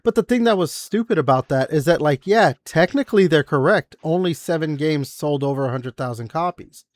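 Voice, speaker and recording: A man's voice: on the high side, animated, a little fast, no echo, clean studio sound. A man in his forties hosting a casual gaming podcast, rambling with lots of fillers and the odd swear. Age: 40s. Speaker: podcast host. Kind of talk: casual gaming news chat.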